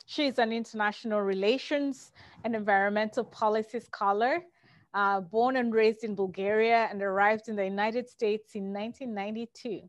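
A woman hosting and producing an interview show, introducing her guest formally over a video call, with some halting fillers. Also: mid-range voice, fairly even intonation, no room sound. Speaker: host and producer. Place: home room.

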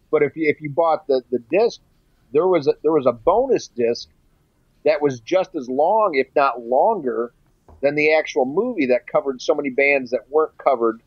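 A man's voice: a little high, breathy edge, dry recording. A man co-hosting a podcast, chatting casually in a blunt, sweary, rambling way. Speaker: podcast co-host reviewing a music documentary. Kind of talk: casual chat. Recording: slightly dull, lo-fi podcast audio.

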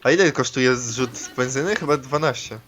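A man's voice: medium pitch, a little fast, fairly bright tone, faint music behind. A man casually bantering and joking with friends while playing a co-op video game, swearing now and then. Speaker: gamer in co-op gameplay session.

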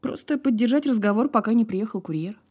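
A woman's voice: a little high, expressive, warm tone, slightly rough. An actress playing a wry, guarded woman in an audio drama, sparring with dry sarcasm. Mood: cool, teasing. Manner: dry, sardonic, unhurried sparring. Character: wry, guarded woman who survived a fall. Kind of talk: naturalistic audio drama acting.

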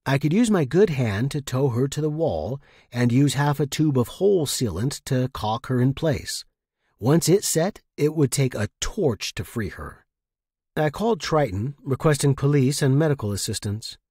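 A man narrating a story as a podcast host, with a husky voice and an expressive delivery. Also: mid-range voice, moderate pace, no room sound, clean studio sound. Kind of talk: expressive first-person audiobook-style story narration.

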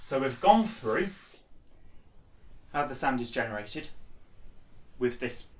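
A man in his twenties gives an informal lesson in a mid-range voice, explaining one step at a time. He sounds a little way from the microphone. The small room adds a clear echo around his words. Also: medium pitch, expressive, takes it slowly. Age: 20s. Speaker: instructor. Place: small bare indoor room. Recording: echoey room, distant microphone.

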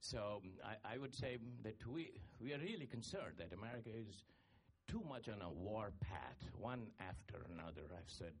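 A man from India, speaking up from the audience at a panel to ask a question, halting with many fillers and restarts. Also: on the low side, lively intonation, breathy edge. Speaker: audience member asking a question. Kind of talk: spontaneous question from the floor at a panel. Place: conference hall audience.

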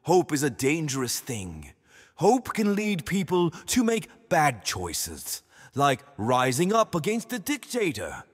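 An actor playing a smug, ruthless world dictator in an audio drama, mock-polite and theatrical. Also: male voice, fairly high pitch, huge pitch swings, slow pace, husky, exaggerated voice. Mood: brooding, intense. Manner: mock-polite, theatrical, switching from exasperated to coldly quiet. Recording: long reverberant hall.

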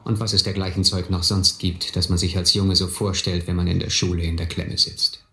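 A man's voice: deep voice, flat delivery, a little fast, gravelly, sharp tone, exaggerated voice. An actor playing a reflective, wry man who narrates memories of his boyhood in a radio play. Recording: roomy, music behind.

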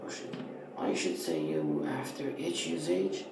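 A man with an Iranian accent giving a casual hands-on tutorial in short, halting phrases. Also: medium pitch, huge pitch swings, creaky. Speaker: electronics hobbyist presenter. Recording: roomy echo with faint noise.

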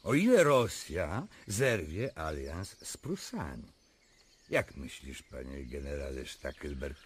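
Courtly Polish king, grandiose radio drama delivery, unhurried, faint noise behind. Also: extremely low pitch, wildly swooping pitch, heavily acted. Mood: conciliatory. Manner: solemn, declamatory, courtly. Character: sentimental, conciliatory Polish king, grandiose yet yielding.

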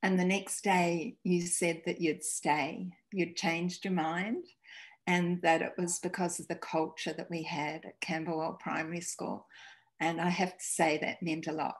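A woman in her sixties from New Zealand, a school principal telling stories in a video-call interview, halting and restarting. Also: fairly low pitch, little pitch movement. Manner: warm storytelling, halting restarts. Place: home room, indoors. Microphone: video call webcam.

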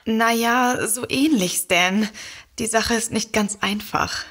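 A woman's voice: on the high side, slow pace, a bit bright, breathy edge, full character voice. An actress playing a polite, sceptical woman writer who speaks in a dry, matter-of-fact way in an audio drama. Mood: polite, uneasy.

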